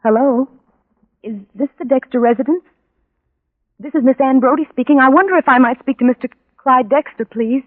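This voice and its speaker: An actress playing a desperate, lovesick young woman in a 1940s radio drama, with a halting, pleading, melodramatic delivery. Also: high-pitched. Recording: tinny band-limited old radio recording.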